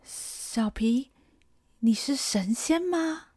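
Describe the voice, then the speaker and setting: A woman's voice: fairly high pitch, expressive, extremely slow, over music. A woman voicing a young boy thief who defends his pet monkey in a radio drama. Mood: wondering. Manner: shouting defiantly.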